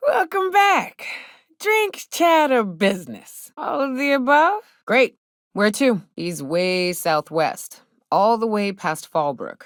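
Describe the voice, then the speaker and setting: An actress voicing a brash, hard-drinking big game hunter in a video game, with folksy, slangy, sardonic talk. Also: female voice, fairly high pitch, a bit bright, no room sound, studio quality, heavily acted. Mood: matter-of-fact. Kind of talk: video game character dialogue.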